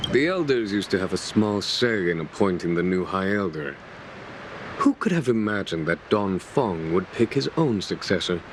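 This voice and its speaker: An actor playing a composed, wise man who speaks in a measured, formal way in a game. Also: low-pitched, animated, background noise. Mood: grave. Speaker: game character. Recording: game audio with loud music.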